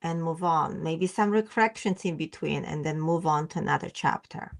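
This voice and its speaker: Polish-accented woman with a gravelly low voice. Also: moderate pace. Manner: hesitant, simple phrasing with fillers and laughs. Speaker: memoir author, guest. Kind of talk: podcast interview.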